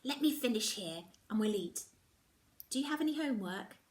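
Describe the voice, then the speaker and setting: A woman's voice: a little high, lively intonation, moderate pace, reverberant, natural voice. Woman reading as a fond, distracted mother. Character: affectionate, absent-minded artist mother.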